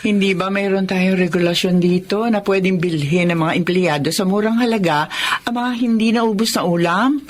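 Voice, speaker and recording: A woman acting a kind, fair-minded restaurant manager, calm and reassuring, in a Filipino radio drama. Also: medium pitch, normal speed, close to an everyday voice. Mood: calm, understanding.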